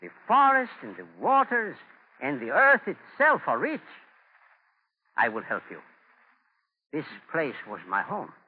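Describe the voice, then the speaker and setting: An actor playing a dignified, compassionate Native man, speaking earnestly in measured, formal words, in 1940s radio drama style. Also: on the high side, huge pitch swings, breathy edge, exaggerated voice. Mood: earnest, friendly. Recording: tinny, noisy 1940 radio recording.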